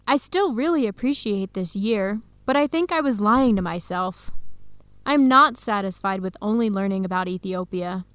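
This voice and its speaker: A woman's voice: mid-range voice, expressive, moderate pace, a bit bright, smooth, dry recording, lightly acted. An actress playing an earnest young woman student in a radio drama. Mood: disappointed, confessing.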